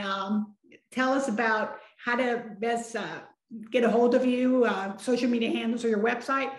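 A woman in her forties, from San Antonio, moderates a panel over a video call, talking in a loose, conversational way and laughing often. Her voice sits a little high, and the small room around her makes it echo. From San Antonio, Texas. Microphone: video call webcam.

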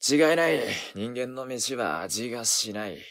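An actor playing a gruff, hot-tempered young male werewolf in a voice drama, with rough, cocky slangy speech. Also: mid-range voice, lively intonation, a little slow, fairly bright tone. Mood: scheming. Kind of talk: Japanese voice drama acting.

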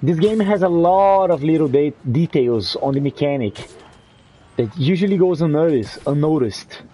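Husky man with a Portuguese accent. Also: fairly high pitch, moderate pace. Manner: relaxed, chatty, answering viewers. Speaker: gameplay streamer. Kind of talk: casual commentary while playing.

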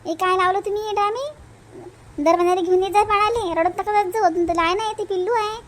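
Woman as high-voiced no-nonsense village nurse. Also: steady tone, fast. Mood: brisk, scolding. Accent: Vidarbha Marathi. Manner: instructing, matter-of-fact, mildly scolding.

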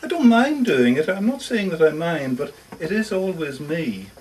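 A man's voice: a little high, big pitch swings, normal speed, darkish tone, recorded from a distance, a little hiss or hum, poor audio. A man reading a short story monologue as a lonely, socially awkward older lodger, confiding, hesitant and defensive, correcting himself as he goes. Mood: proud, confiding. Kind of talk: first-person short story monologue read aloud. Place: live reading in a bookshop.

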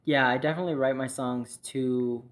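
A young man with a soft voice chatting easily in a garage studio, full of "like" fillers and quick laughs. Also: medium pitch, steady tone. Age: young adult. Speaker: guest singer-songwriter. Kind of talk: casual interview chat. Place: garage studio with leather couch. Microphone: studio mic on stand.

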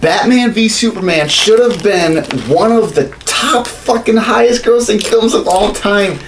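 A man's voice: sits high, measured pace, slight background noise. A man in his thirties chatting casually, profane and ranting as a podcast co-host in a small room. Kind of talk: casual opinionated chat about films. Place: small home studio room, indoors.

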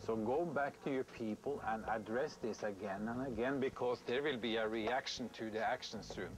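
A man's voice: medium pitch, normal speed, a little hiss or hum, low-quality recording. A man, a ship captain sternly addressing his officers at a meeting. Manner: stern, commanding. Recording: music bed underneath.

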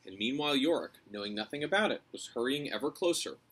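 A man's voice: medium pitch, animated, quick pace, fairly bright tone, slightly rough, poor audio. An American man in his thirties narrating a children's novel, reading aloud on a porch. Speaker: storybook reader. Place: covered house porch, outdoors.

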